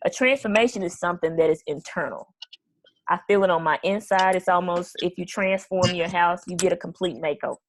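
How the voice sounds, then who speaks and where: A woman's voice: lowish voice, brisk, no room sound. A woman giving an earnest, explanatory impromptu speech as toastmaster of the meeting.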